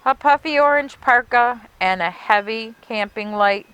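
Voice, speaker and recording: A woman reading a children's picture book aloud as a library story time host, with expressive, deliberate storytelling. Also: on the high side, clean tone, no echo, poor audio.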